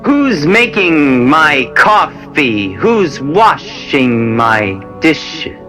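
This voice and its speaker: A man performing poetry in deliberate, theatrical short phrases. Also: fairly high pitch, big pitch swings. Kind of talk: performed poetry recital over music. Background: music.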